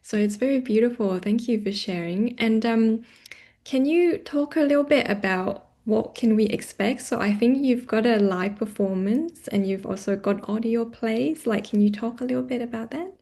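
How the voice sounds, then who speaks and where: A woman's voice: on the high side, normal speed, warm tone. A woman hosting a casual, supportive interview, speaking appreciatively with somewhat hesitant phrasing. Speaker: interview host.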